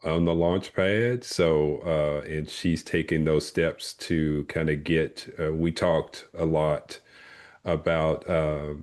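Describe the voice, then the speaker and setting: A man's voice: extremely low pitch, steady tone, slow pace, darkish tone. A man on a video call welcoming a newcomer in a supportive way, with many hesitations and filler words. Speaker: experienced coach. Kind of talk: casual group video call.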